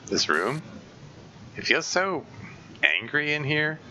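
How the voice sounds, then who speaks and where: A man's voice: on the high side, big pitch swings, slow, pushed and bright, a little hiss or hum, voice pushed up, exaggerated voice. A man voicing a small, hot-tempered teenage boy who snaps and swears, in a game read-through. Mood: apologetic. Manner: snapping, profane. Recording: game music behind.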